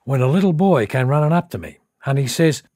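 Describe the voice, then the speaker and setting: A man's voice: medium pitch, expressive, brisk, warm tone, no room sound, studio quality, voice pushed up, natural voice. A man voicing a proud, grizzled older Australian soldier spinning a folksy yarn for an audiobook. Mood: animated, determined. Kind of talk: audiobook character acting.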